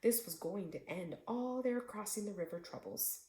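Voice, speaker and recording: A woman's voice: medium pitch, normal speed, warm tone, roomy sound, natural voice. A woman voicing a patient old female cat in a storybook, explaining things earnestly and without hurry.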